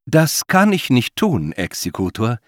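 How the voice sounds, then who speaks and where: A man voicing a genderless, dutiful machine, formal and measured, in an audiobook. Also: mid-range voice, huge pitch swings, a bit bright, no room sound, clean studio sound, pitched above the performer's own voice. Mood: impassive.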